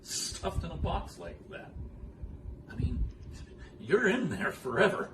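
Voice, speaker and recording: An actor playing a rambling, absurdly philosophical man musing haltingly on death in a comic stage monologue, recorded from afar in an echoey, noisy room. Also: sits high, big pitch swings, gravelly, heavily acted. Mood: nervously amused. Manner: musing, halting, self-correcting, abrupt swoops in emphasis. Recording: echoey room, distant mic, noisy background.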